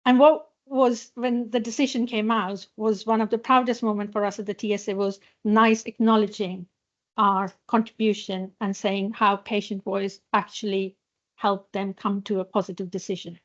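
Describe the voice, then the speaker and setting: A woman from a patient charity presents to a hospital audience. She stops and restarts often and fills her pauses with ums and you-knows. Her voice sits at a middle pitch, and the recording sounds muffled and dull. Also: little pitch movement. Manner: hesitant, many fillers and restarts. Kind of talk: conference presentation. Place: hospital lecture hall.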